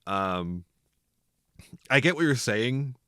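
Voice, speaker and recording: A man's voice: medium pitch, animated, slow pace, fairly bright tone, clear, resonant tone, no echo, studio quality. A man hosting a casual film podcast, getting over a cold, wry and chuckling between his remarks. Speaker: podcast host.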